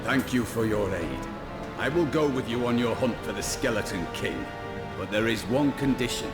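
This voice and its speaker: Male actor as righteous knight for a fantasy game: stern, formal, wide-ranging pitch, echo, loud score. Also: lively intonation, moderate pace, lightly acted. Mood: proud, solemn. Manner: solemn, declamatory, formal. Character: proud, stern templar warrior, righteous and formal. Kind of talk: heroic fantasy game acting. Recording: echoing, under loud game music.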